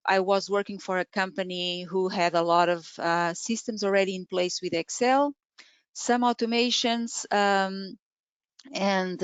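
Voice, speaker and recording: A woman's voice: mid-range voice, smooth, dry recording. A woman in her forties from Portugal, a native Portuguese speaker, presenting an online webinar from a room at home, talking with many fillers and restarts. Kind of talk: webinar talk. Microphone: video call. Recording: dull lo-fi video call audio.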